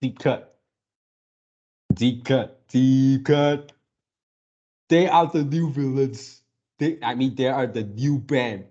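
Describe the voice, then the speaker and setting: A man giving casual fan commentary as a video creator, halting as he repeats words and searches for phrasing. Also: medium pitch, warm tone, lo-fi sound. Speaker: video creator reacting to a game series.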